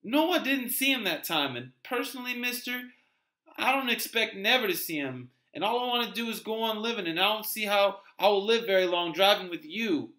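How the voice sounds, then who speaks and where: Man putting on a high-pitched sassy young woman. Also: lively intonation, brisk, bright, forceful tone. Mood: wary. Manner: folksy, blunt, sassy.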